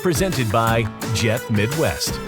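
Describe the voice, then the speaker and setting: A man reading a scripted podcast intro in a smooth, measured announcing voice. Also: mid-range voice, very animated. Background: loud music.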